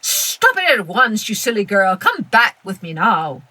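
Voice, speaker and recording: A woman's voice: medium pitch, very animated, a little slow, sharp tone, in a large hall. A woman voicing a tyrannical, menacing condor master who scolds and commands in a children's story. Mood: angry, impatient.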